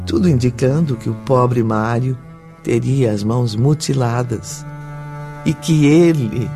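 An actor narrating a spiritual radio drama in a solemn, reverent manner. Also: male voice, mid-range voice, warm tone. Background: music.

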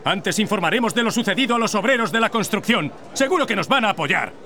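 An actor playing an indignant union leader in a radio drama, speaking in an urgent, rallying way. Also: male voice, high-pitched, animated, fast, bright, forceful tone, full character voice. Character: fiery male union worker leader.

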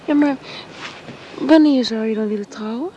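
A woman playing a shy, gentle young woman being courted, speaking hesitantly and dreamily, often in a whisper, in a radio drama. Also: fairly high pitch, moderate pace, dull audio, slight background noise, natural voice. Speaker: Marion.

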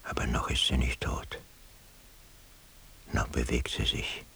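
An actor playing a weary, anguished, sleepless man in a radio drama monologue, morose and minutely observant, in a breathy, half-whispered, husky voice. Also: a bass voice, level tone, slow, a bit bright, heavily acted. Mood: morbid, absorbed.

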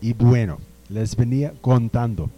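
A man playing a bewildered, nostalgic gentleman from the 1800s in a youth radio drama, speaking in a formal, old-fashioned way. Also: medium pitch, highs cut off, faint music behind, natural voice. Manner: slow, wistful, formal and old-fashioned speech. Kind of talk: amateur radio drama acting.

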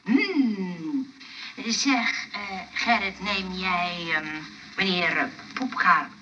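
An actress playing a fussy, hospitable woman who runs a rest home, halting and chattering as she goes. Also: on the low side, animated, sharp tone, highs cut off, heavily acted. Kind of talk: radio play acting. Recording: slightly noisy, distant radio play recording.

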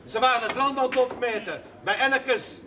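An actor playing an agitated village eyewitness in a Dutch period TV drama, speaking emphatically. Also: male voice, extremely high pitch, fairly even intonation, moderate pace. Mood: agitated, emphatic. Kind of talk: 1970s Dutch television period drama acting. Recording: distant voice amid crowd noise.

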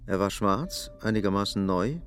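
A man's voice: lowish voice, moderate pace, fairly bright tone. A man narrating an audio drama as a scripted literary story. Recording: music bed behind the voice.

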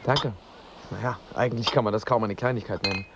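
A man's voice: lowish voice, steady tone, quick pace, background noise, lightly acted. Actor as a polite, hesitant male lover. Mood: polite, curious. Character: polite, earnest, evasive male lover of a married woman. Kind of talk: radio drama acting.

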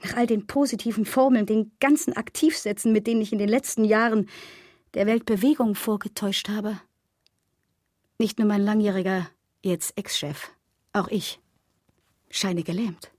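A woman acting in a radio drama monologue as a bitter, betrayed agency employee, narrating her thoughts with measured irony. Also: mid-range voice, steady tone, a little mellow, dry recording, studio quality, natural voice. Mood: numb, disillusioned. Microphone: close, dry studio microphone.

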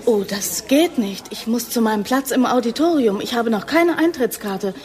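An actress plays a young woman caught up as a reluctant agent in a radio thriller. Her voice is fairly high, vulnerable and under strain. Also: normal speed, a crowd in the background, natural voice. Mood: polite, urgent. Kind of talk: radio drama acting.

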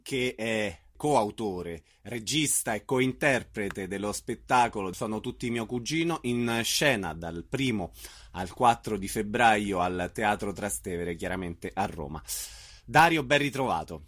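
A man's voice: on the low side, lively intonation, measured pace, a bit bright. A man hosting an arts interview show, announcing events and putting questions to guests in an informal, friendly way. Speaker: radio interviewer, host.

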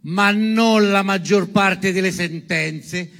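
A man giving a dramatic, declaimed reading of a statement in emphatic, measured phrases. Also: sits high, vocal fry, fairly bright tone. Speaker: performer reading a testimony. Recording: long reverberant hall echo.

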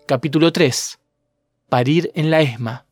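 Guy narrating a documentary podcast from a script, voice a bit on the high side. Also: measured pace, music in the background.

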